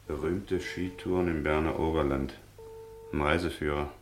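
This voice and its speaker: Deep male junior detective, radio crime drama; deferential, clipped reports, faintly noisy recording. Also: steady tone, moderate pace. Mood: businesslike, puzzled. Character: observant, deferential male police assistant at work. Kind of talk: German radio crime play acting.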